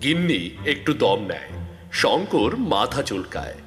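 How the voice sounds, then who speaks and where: A man narrating a cartoon story in a lively storytelling manner. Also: lowish voice, measured pace. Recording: echoey, with loud music.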